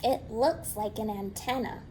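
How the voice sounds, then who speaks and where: A woman voicing a gentle, worried male owl in a storybook, speaking softly and hesitantly. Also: on the high side, measured pace, a little hiss or hum, pitched below the performer's own voice, close to an everyday voice, poor audio. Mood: mild.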